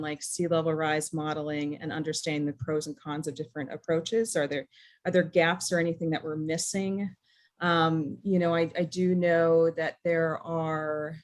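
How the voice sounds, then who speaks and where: A woman's voice: sits low, level tone, normal speed. An American woman from New England talking informally through plans at a meeting, thinking aloud and hedging as she goes. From New England, USA. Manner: hesitant, thinking aloud, hedging with 'sort of' and 'you know'. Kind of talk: informal spoken presentation at a meeting.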